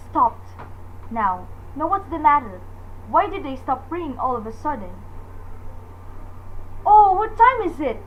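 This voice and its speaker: An actress playing a nervous, imperious married woman in a suspense radio drama, indignant, demanding and melodramatic as panic takes hold. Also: high voice. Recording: dull lo-fi recording with faint noise.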